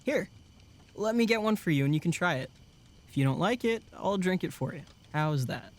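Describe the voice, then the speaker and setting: A man playing an earnest, protective young male camp counselor in an audio drama, calm and considerate. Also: high-pitched, moderate pace. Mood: easygoing.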